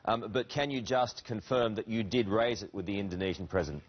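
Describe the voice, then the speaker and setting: Quick-talking Australian male interviewer, insistent. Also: fairly low pitch, muffled recording, slight background noise. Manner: insistent, pressing, with stammered starts. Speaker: news interviewer. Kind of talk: probing political interview.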